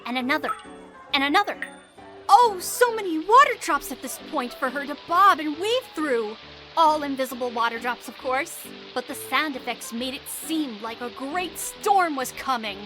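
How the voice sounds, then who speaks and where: High-voiced female storyteller. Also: moderate pace. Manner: expressive, dramatic storytelling. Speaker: narrator. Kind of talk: scripted storybook narration for audio drama. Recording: music behind the voice.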